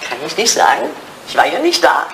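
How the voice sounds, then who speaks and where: A woman's voice: mid-range voice, huge pitch swings, brisk, fairly bright tone, heavily acted. An older upper-class lady in a retro radio play, her voice swinging animatedly up and down. She is coquettish and playfully reproachful, heard in a roomy, noisy recording. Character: elderly English lady, old flame, playful, teasing, sentimental. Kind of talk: 1980s German radio play acting. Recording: roomy sound with clear background noise.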